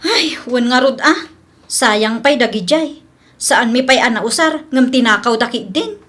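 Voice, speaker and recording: A woman playing a gossipy, suspicious village woman in a radio comedy drama, with a drawn-out, complaining delivery. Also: fairly high pitch, expressive, fairly bright tone. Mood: aggrieved.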